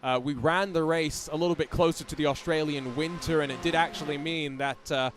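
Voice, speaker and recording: High-voiced male race commentator, chatty play-by-play, over a noisy mix. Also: fairly even intonation, a little fast. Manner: chatty, rambling with fillers. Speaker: sim racing commentator.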